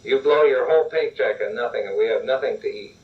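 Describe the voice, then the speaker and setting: A man's voice: mid-range voice, quick pace, close to an everyday voice. A man voicing an angry wife who scolds and accuses, in a dull lo-fi recording made from a distance. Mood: angry, nagging. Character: angry, nagging wife complaining about money.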